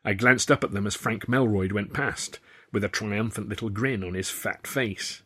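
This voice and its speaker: A man reading his own novel aloud in the first person, with a wry, lively storytelling manner. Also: on the low side, moderate pace, slightly rough. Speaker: author and narrator. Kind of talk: audiobook narration, first person.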